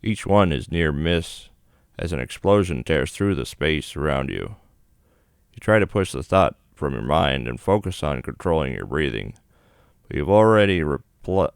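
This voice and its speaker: A man's voice: rumbling low, vocal fry, clean tone, no echo, clean studio sound. A man reading the narration of a visual novel aloud as a gaming video host.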